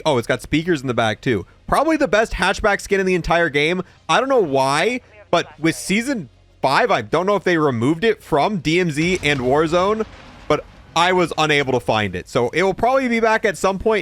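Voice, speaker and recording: A man's voice: fairly high pitch, fairly bright tone. A man giving casual commentary as he shows off items in a video game. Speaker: gaming video creator.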